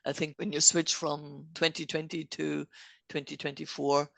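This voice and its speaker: A woman's voice: sits low, little pitch movement, normal speed, gravelly, dry recording. A woman podcast host, not a native English speaker, chatting casually and explaining things step by step with frequent laughs. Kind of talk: casual technical chat.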